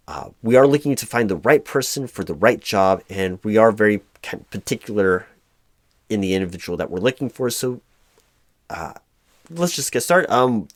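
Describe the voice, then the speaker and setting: A man playing a flustered, hapless corporate recruiting manager in a one-sided spoken roleplay, hesitant and stammering, with an awkwardly polite, monotonous delivery. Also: lowish voice, close to an everyday voice. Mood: awkwardly formal. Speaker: job interviewer.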